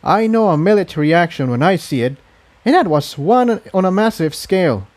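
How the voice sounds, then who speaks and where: A man's voice: high voice, expressive, moderate pace, darkish tone, voice pushed up. A man voicing an earnest, battle-wise female pony in an adventure story reading. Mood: serious.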